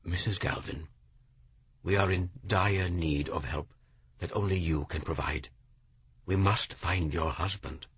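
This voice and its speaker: Man doing a calm old poet, soft deep husky voice, slow and careful. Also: heavily acted. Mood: gentle. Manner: soft-spoken, measured. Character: calm, gentle older poet.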